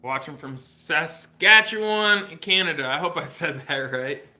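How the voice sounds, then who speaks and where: A congested man casually chatting on a livestream as host, showing his workshop and rambling in a relaxed way with slang. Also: fairly high pitch, measured pace. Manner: rambling, laid-back, with fillers and slang. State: congested and coughing. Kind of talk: casual livestream chat while showing a build. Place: home workshop or garage.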